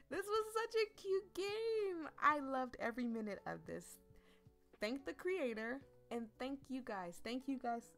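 A woman's voice: fairly high pitch, vocal fry. A woman giving casual, chatty and effusive gaming commentary as the host of a channel.

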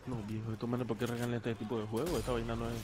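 A man's voice: medium pitch, level tone, rapid-fire, background noise. A young man playing a co-op game who rants, repeats himself and shrieks when panicking. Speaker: gamer in a co-op group. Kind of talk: loud casual gaming banter.

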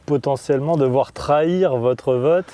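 A man's voice: mid-range voice, unhurried, dark tone. A young adult man interviewing a guest in a car at night, asking brief questions on a lapel mic. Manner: brief prompting questions, backchannels. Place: back seat of a car at night.

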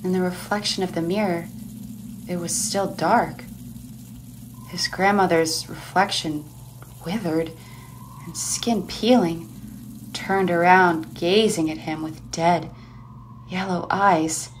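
A woman narrating a scripted horror story in a suspenseful, expressive manner with dramatic pauses. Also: lowish voice, a little hiss or hum, poor audio. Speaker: narrator of an audio play.

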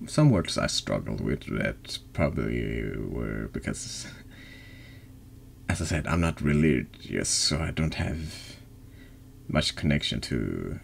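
A man with an extremely low voice speaks slowly as he streams a horror text game. His delivery is heavy with vocal fry, and there is faint noise behind him. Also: very animated. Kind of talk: slow dramatic reading of a horror text game and casual chat.

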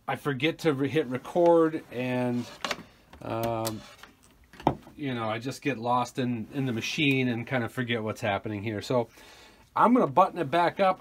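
A man giving casual, rambling commentary as he works hands-on at a workbench, thinking aloud informally. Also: medium pitch. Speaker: repair video host, technician. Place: small indoor workshop at a workbench. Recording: clear background noise, handling rustle.

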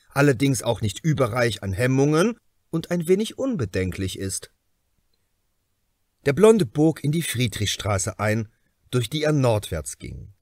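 A man narrates a crime novel with expressive, measured phrasing. His mid-pitched voice rises and falls widely, and he places his pauses deliberately. The sound is dry, with no room echo. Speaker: audiobook narrator.

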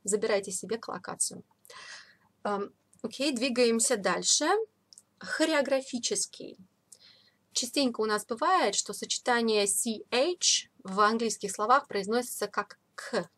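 A woman teaching a vocabulary lesson in an informal, explanatory way, repeating key words slowly for learners. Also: on the high side, a bit bright. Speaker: English teacher. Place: voice-over of a screen recording.

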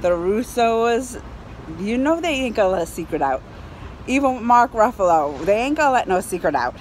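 A woman in her thirties chatting casually to camera as a movie reviewer, emphatic and excitable, repeating phrases for effect. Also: a little high, moderate pace, low-quality recording. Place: outdoors on a high balcony over a city. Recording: outdoor city noise, passing cars. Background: urban traffic ambience.

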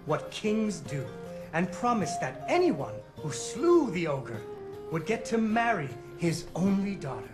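A woman's voice: lowish voice, very animated, measured pace, music in the background. A woman voicing a young girl who narrates a game's storybook tale in a playful, sing-song way. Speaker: game narrator.

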